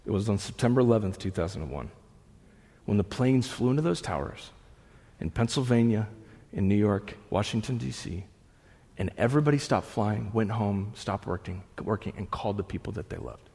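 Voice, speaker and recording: Husky-voiced pastor in a reverberant hall. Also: male voice, on the low side, moderate pace. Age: 30s. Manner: animated, swinging from loud bursts to hushed, near-whispered lines; conversational with frequent restarts and asides. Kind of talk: sermon. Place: church hall with an audience. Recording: long reverb of a large hall.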